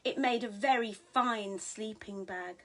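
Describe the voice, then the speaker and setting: A woman reading a storybook aloud as a mother to her young child, in an expressive, sing-song way. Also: mid-range voice, unhurried.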